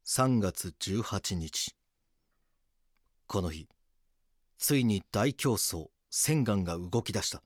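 An actor playing a stern but caring police detective who narrates an audio drama in a serious, measured way. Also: male voice, on the low side, lively intonation, no echo, studio quality. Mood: grave. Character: stern male police detective narrating, gruffly tender husband. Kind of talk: scripted documentary-drama narration and acting.

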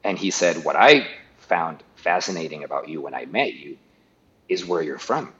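A man's voice: deep voice, expressive, normal speed, pushed and bright, highs cut off. A middle-aged man from Guatemala, a guest talking casually in an interview, often restarting and repeating words.

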